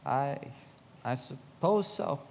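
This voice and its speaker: An actor playing a reflective, loyal young narrator in a live radio play, with measured dramatic delivery in a reverberant hall. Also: male voice, mid-range voice, expressive, darkish tone. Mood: uneasy, loyal.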